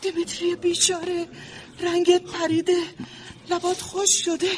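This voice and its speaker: A super high pitched anguished wife in a drama, pleading, devoted, distraught. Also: female voice, completely flat delivery, a little hiss or hum. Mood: worried. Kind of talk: dramatic acting.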